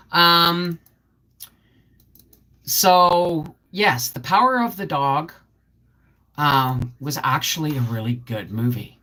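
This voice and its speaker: A woman's voice: deep voice, a bit bright. A middle-aged woman chatting casually on a live stream, rambling and answering viewer comments as she goes. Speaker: homestead channel host.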